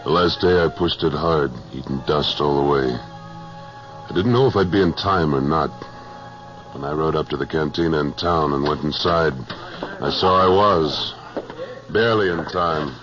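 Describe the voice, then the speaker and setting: A hard-bitten western cattleman with a very low American voice. He is self-assured and terse, never wasting a word. The sound is a muffled 1950s radio recording with music around it. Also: normal speed. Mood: grim narrating. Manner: terse, unflinching, plain-spoken. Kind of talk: 1950s radio western acting, first-person narration and dialogue.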